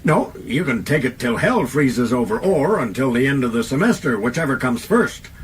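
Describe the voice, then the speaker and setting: Man as a gruff, unyielding admiral, noisy background. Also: medium pitch, sing-song, moderate pace, voice pushed up, lightly acted. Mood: dismissive. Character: blunt, unyielding senior male admiral instructor. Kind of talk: audiobook character dialogue.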